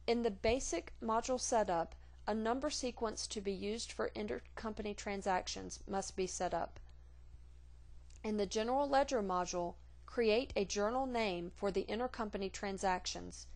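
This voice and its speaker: A woman reading scripted instructional narration for a software tutorial in a measured, formal, neutral manner. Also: medium pitch.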